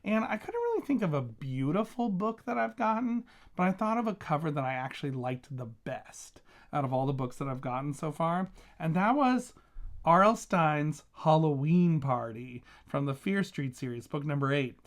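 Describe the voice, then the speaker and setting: A man's voice: a little high, big pitch swings, normal speed. A man talking casually as a vlogging reviewer, gushing with emphatic, enthusiastic delivery. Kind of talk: casual chatty book review vlog.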